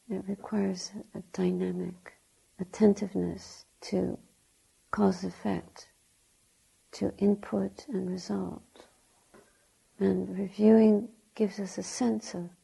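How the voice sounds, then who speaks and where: Woman, meditation teacher, soft husky breathy voice, heavy creak, unhurried, gentle, deliberate short phrases. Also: medium pitch, poor audio. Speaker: Buddhist nun, meditation teacher. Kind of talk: guided meditation instruction.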